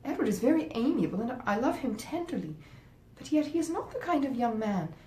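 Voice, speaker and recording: A woman voicing a romantic, earnest teenage girl from a Regency novel, with emphatic, drawn-out delivery. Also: big pitch swings, warm tone. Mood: earnest, disdainful. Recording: roomy echo, faint rumbling noise.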